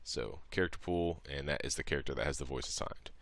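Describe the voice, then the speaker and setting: A man's voice: very deep voice, fast talker, a bit bright, dry recording. A man presenting a modding tutorial as a casual screen-recorded walkthrough, explaining each step in an informal, offhand way.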